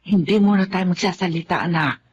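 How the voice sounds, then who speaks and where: A woman's voice: lowish voice, fairly quick, a little mellow, a little hiss or hum, natural voice. A woman playing a meek, put-upon housemaid and mother who talks in an anxious, pleading way, in a radio drama. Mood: worried. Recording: dull lo-fi sound.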